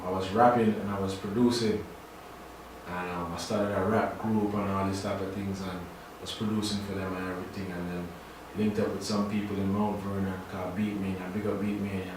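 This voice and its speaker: A young man from Trinidad chats as a guest in a radio studio, his voice a bit low in pitch. He talks in a laid-back, halting way, full of fillers. The mic sits at a distance in a very echoey room with faint noise beneath. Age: young adult. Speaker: guest artist. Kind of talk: casual radio interview.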